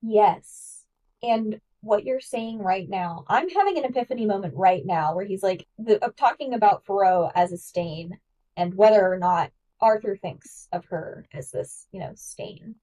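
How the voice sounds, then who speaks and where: A woman's voice: mid-range voice, expressive, a little slow, warm tone, far from the mic, rough recording. A woman guest-hosting a podcast, talking through ideas in an eager, analytical way with lots of fillers. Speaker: guest host. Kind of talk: casual podcast discussion.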